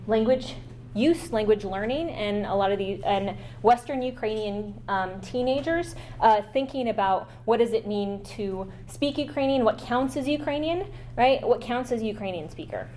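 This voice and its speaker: A woman guest lecturer giving an academic talk, partly read aloud, halting at times with fillers and restarts. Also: on the high side, a little mellow, slight background noise.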